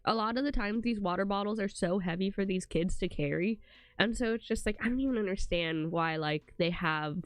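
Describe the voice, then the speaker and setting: A woman's voice: mid-range voice, no room sound. Congested young woman, rambling stream chat. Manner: rambling, full of "like" and "um". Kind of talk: casual chat to a live stream.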